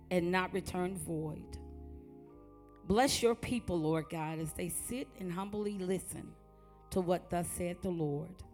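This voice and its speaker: A woman with a strong, loud voice, preaching and praying in a church sanctuary with a fervent, rhythmic cadence. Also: medium pitch. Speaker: guest preacher. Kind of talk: church sermon and prayer. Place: church sanctuary before a congregation.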